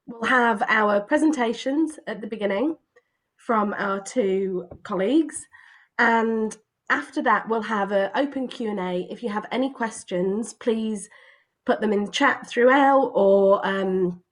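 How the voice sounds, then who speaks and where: Female host on a video call, a little croaky, halting delivery with frequent ums. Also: medium pitch. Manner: hesitant, many ums. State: slightly hoarse with a cough. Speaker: event host. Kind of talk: online event introduction.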